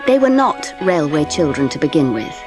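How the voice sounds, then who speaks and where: A woman playing a gentle middle-class mother who narrates her family's story in a dramatised audiobook. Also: low-pitched, sing-song, normal speed, over music. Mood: reflective, fond.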